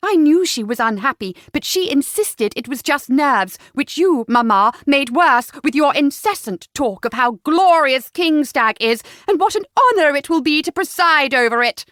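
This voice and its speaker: Defiant young gentlewoman voiced by a woman narrator, spirited, forthright, emphatic, raised pitch, historical romance audiobook, dry recording. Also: lively intonation, moderate pace, hardly any pauses, dry recording, close to an everyday voice. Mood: reproachful.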